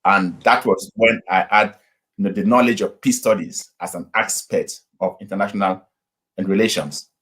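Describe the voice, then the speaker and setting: Low-voiced husky man from Nigeria. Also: a bit bright. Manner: unhurried, with frequent fillers and restarts. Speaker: pastor and guest. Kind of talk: casual interview storytelling. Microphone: video call.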